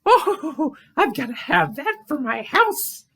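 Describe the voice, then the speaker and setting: A woman's voice: high voice, sing-song, takes it slowly, background noise, voice pushed up, heavily acted. A woman voicing an anxious, whiny female raccoon in a puppet show, hesitant, giggly and melodramatic. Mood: covetous, excited.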